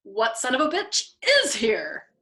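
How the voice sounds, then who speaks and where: A wry, paranoid young bombardier, voiced by a woman reading a novel aloud. He speaks calmly and innocently, with a deadpan edge. The voice is bright and lively, in a storybook reading style. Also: fairly high pitch, measured pace, exaggerated voice.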